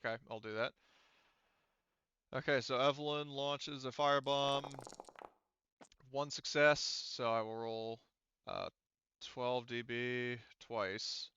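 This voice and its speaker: A man's voice: mid-range voice, fairly even intonation, slow, pauses often, bright, forceful tone, smooth, no room sound. A man running a tabletop roleplaying game as game master, talking through dice rules in a casual, profane way over slightly dull audio.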